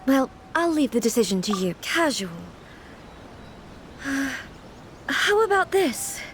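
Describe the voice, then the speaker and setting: A woman playing a reserved, earnest and naive immortal ruler in a video game, speaking in a formal, measured manner. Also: high-pitched, sing-song, a little hiss or hum, full character voice. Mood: puzzled, self-conscious. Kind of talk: video game cutscene acting.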